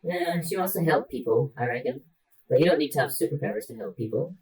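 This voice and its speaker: A very husky cranky robot sidekick in a superhero radio play, talking casually and coaxingly like a mentor. The voice is rough and sits a little away from the mic. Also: mid-range voice, expressive, fast talker, darkish tone, far from the mic, heavily acted, low-quality recording. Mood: wry, reassuring.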